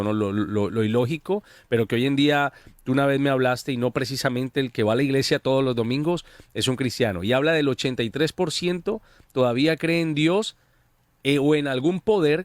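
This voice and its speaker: A man from Colombia co-hosting a discussion, speaking in a measured, hesitant way with many fillers and tag questions. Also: mid-range voice, no echo. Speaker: co-host. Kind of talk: conversational discussion.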